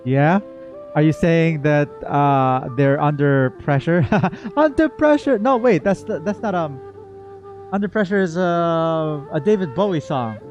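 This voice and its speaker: A male gaming YouTuber with a fairly high voice, reacting casually as he plays. He is goofy and theatrical, rambles and slips into sing-song, with faint game music behind him. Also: animated, a little slow, a little mellow, muffled recording. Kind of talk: casual playthrough reaction commentary.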